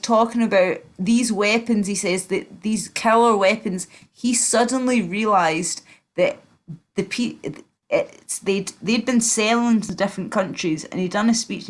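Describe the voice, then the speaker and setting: Glaswegian woman chatting bluntly. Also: mid-range voice. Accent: Glaswegian Scottish. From Scotland. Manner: rambling, blunt, swears freely. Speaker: livestream host. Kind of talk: casual livestream chat.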